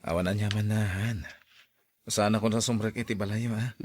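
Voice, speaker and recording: A man's voice: on the low side, steady tone, normal speed, breathy edge. A man playing a devoted, protective young boyfriend in an audio drama, earnest and coaxing. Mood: affectionate, protective.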